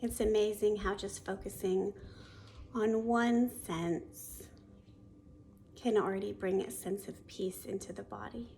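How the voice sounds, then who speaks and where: Female meditation guide: soft, soothing delivery, very unhurried, with noticeable fry; some ambient noise. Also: mid-range voice, steady tone. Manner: soothing, unhurried, gently instructive. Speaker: yoga and meditation teacher.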